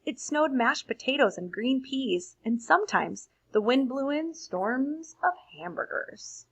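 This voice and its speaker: A woman reading a picture book aloud to children in an expressive storytelling manner, adding simple, casual explanations. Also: high voice, unhurried, vocal fry, slightly rough, highs cut off. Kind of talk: read-aloud picture book narration with casual asides to young listeners.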